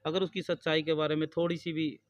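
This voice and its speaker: A man's voice: on the high side, flat delivery, fairly quick, no room sound. A male local news reporter speaks. The sound is dry and close, and he moves between addressing viewers and putting informal questions to an interviewee.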